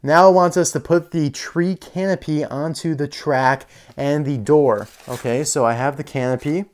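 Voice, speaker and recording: A man's voice: a little high, steady tone, normal speed. A man giving casual, unscripted hands-on toy commentary, chatting and thinking aloud as he goes. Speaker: toy reviewer. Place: indoor room full of toy displays.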